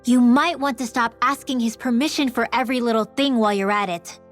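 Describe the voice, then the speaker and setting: A woman playing a sharp-tongued, distrustful young girl in a video game, blunt and snippy. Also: fairly high pitch, brisk, close to an everyday voice. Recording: music underneath. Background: background music.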